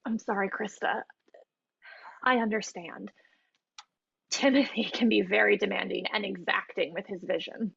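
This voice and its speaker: A woman's voice: mid-range voice, steady tone, normal speed, gravelly, long pauses, fairly bright tone, breathy edge, no echo, full character voice. An actress playing a diplomatic, evasive woman running an audition, conciliatory and hesitant, in a naturalistic play. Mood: apologetic, defensive. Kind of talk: naturalistic stage play acting over video call. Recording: muffled, dry video-call audio.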